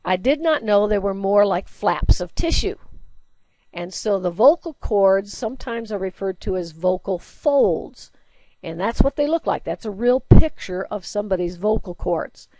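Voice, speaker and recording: A woman's voice: expressive, normal speed, warm tone, no room sound. A woman teacher giving a recorded slide lecture, chatty and explaining with everyday examples and questions. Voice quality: low alto singing voice, by her own account.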